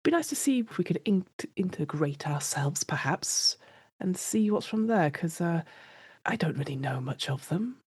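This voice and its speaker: A woman with a low voice, recorded dry, plays a tabletop roleplaying game. She speaks casually, feeling her way through loose, hesitant sentences. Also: big pitch swings, moderate pace, darkish tone, slightly rough, no echo. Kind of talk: casual improvised game talk.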